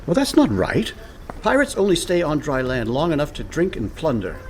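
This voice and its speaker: A man's voice: a little high, wildly swooping pitch, moderate pace, a little mellow, a little hiss or hum, rough recording. An actor playing a cheerful, scheming and overconfident con man in a comic radio play, breezy and persuasive. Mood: reassuring.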